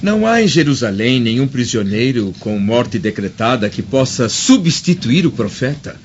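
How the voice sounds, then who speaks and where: An actor playing a proud, troubled Roman senator in a radio drama, speaking formally like an orator. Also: male voice, mid-range voice, sing-song, moderate pace, natural voice. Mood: earnest persuasion. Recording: dull recording with faint noise.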